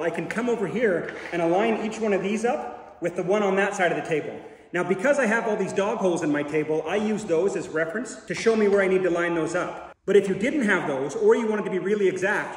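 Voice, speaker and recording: A middle-aged man with a fairly high voice explains a woodworking tool, step by step and upbeat. He talks from a large workshop, and the room rings around him with a long reverb tail. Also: fairly bright tone. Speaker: woodworking channel host. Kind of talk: scripted product demonstration. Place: large woodworking shop indoors. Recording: echoey shop reverb.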